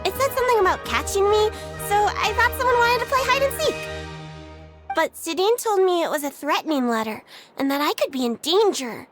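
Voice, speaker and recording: A woman's voice: very high voice, lively intonation, a little fast. A chirpy, naive young girl detective. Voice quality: bright and girlish. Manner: chirpy, scatterbrained and easygoing. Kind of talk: video game voice acting. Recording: game audio with music behind.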